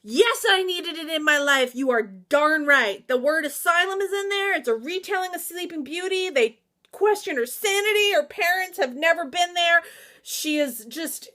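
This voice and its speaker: A woman chatting casually to viewers as a book reviewer, excitable, emphatic and slangy, with theatrical asides and exclamations. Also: very high voice, normal speed. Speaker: book reviewer and vlog host. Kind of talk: casual, opinionated book recommendation chat to viewers.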